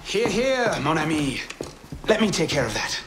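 Cheeky young french rogue in a game, lighter voice, super animated, flippant. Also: male voice, a little high, moderate pace, slight background noise. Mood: wry, jaunty. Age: young adult. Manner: flippant, sardonic. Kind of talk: video game voice acting.

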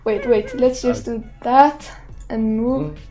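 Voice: mid-range voice, slow. A teenage streamer, a native Swedish speaker, chatting casually in scattered asides on a livestream. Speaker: game streamer. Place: small room indoors at a desk. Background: music, keyboard typing.

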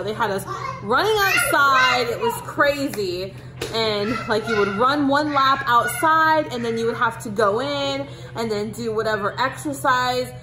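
A woman in her thirties talking casually to her phone camera while cooking in her home kitchen, upbeat and chatty. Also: high voice. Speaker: family vlogger. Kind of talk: casual cook-with-me vlog. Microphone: handheld phone camera at arm's length.

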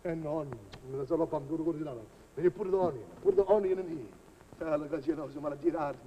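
A man's voice: on the high side, fast, warm tone, natural voice. A man playing a jolly old-country Italian cook with an Italian accent, rambling in broken English before a live audience. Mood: jovial. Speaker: comic cook. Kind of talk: live comedy sketch. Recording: live audience laughter, noisy old recording.

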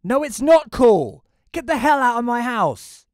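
A man's voice: very high voice, very animated, unhurried, no echo, studio quality, full character voice. A man playing a curt, sarcastic, antisocial widower who narrates his own story in an audio play. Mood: angry. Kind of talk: first-person audio play narration and dialogue.

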